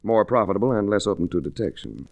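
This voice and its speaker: A man's voice: on the low side, animated, fast, gravelly, dry recording. An actor playing a wry, hard-boiled adventurer-investigator who narrates his own case in a 1940s radio drama. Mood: probing. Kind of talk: 1940s radio detective acting. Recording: restored 1940s radio recording.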